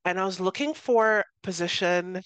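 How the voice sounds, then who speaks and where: A woman's voice: fairly low pitch, normal speed, fairly bright tone, clean tone, no echo. A Canadian woman in her thirties, a guest researcher giving long, earnest answers in an interview, peppered with "you know" and self-corrections. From Toronto, Canada.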